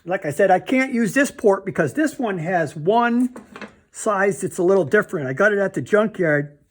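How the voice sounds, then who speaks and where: A man's voice: sits high, animated, normal speed. A man giving a casual, plainspoken repair demonstration, thinking aloud informally as he handles parts. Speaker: DIY car repair demonstrator.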